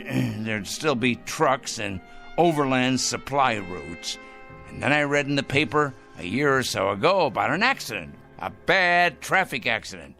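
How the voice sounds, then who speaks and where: An actor playing a paranoid, self-righteous crank in a radio drama, ranting in a fussy, theatrical way. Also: male voice, medium pitch, vocal fry, sharp tone, exaggerated voice. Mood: smug scheming. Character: paranoid, self-righteous crank obsessed with punishing evil people. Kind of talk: dramatic radio play acting.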